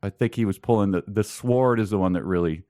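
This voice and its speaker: A man's voice: fairly low pitch, fast, dark tone, dry recording, studio quality. A man co-hosting a podcast in casual chat about a book, with an amused, mock-puzzled and emphatic manner. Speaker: podcast co-host.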